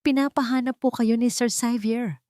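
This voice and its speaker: A woman's voice: a little high, fairly even intonation, normal speed, a little mellow, no room sound, clean studio sound, pitched above the performer's own voice, close to an everyday voice. A woman voicing a polite female secretary in an audio romance, deferential and imploring. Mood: polite pleading.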